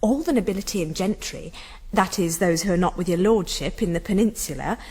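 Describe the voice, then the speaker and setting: Saucy society courtesan in reverb. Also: female voice, fairly low pitch, expressive, fairly quick, darkish tone, slightly rough. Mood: arch, gleeful. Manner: arch, playful, mannered. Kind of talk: historical radio drama acting.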